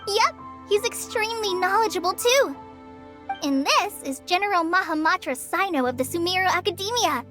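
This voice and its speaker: Very high, earnest young girl. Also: lively intonation, normal speed, bright, forceful tone, soft background music, exaggerated voice. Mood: eager. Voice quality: youthful, girlish. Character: shy, earnest teenage girl trainee, sweet-natured. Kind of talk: anime video game voice acting.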